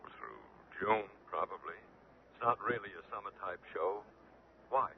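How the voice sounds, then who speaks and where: A man's voice: lowish voice, animated, unhurried, vocal fry. A muffled, puzzled theater man. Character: puzzled, cautious theater man taking a phone call. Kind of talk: 1970s radio drama acting. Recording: quiet muffled telephone voice in radio drama.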